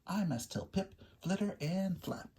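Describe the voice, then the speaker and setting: Stellaluna, a squeaky, wide-eyed baby girl bat in a storybook, read high by a man, range lively. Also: unhurried, a little hiss or hum. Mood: joyful. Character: young female fruit bat raised by birds.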